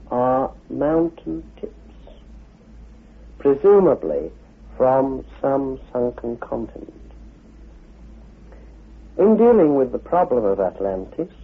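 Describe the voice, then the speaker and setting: A man giving a measured expository talk as an esoteric author. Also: medium pitch, slightly rough. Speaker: author and head of an esoteric order. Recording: dull lo-fi radio recording.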